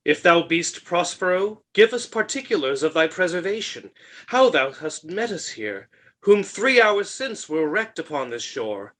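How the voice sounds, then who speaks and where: Man reading as higher-voiced, bewildered shipwrecked king. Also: fairly bright tone, full character voice. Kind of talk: unrehearsed virtual Shakespeare reading.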